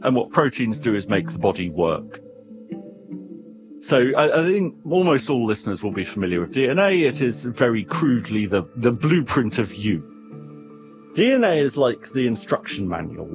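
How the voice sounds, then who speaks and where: Guy explaining science like on a podcast, really animated, wry, bit muffled recording w faint music. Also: mid-range voice. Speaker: expert guest explaining science.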